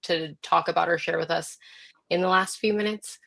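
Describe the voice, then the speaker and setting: A woman with a slightly lower-pitched voice hosts a conversational interview show. She speaks informally and affirms her guest with open appreciation. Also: fairly even intonation, normal speed, gravelly, a bit bright. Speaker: podcast host. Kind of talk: casual conversational interview hosting.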